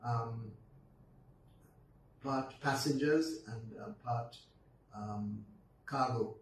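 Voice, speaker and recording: Man, 80s, Barbadian accent, reminiscing, unhurried with ums, distant mic, echoey room. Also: medium pitch. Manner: unhurried, with frequent ums and restarts. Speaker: guest. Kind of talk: reminiscing storytelling in conversation. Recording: roomy echo, distant mic, faint noise.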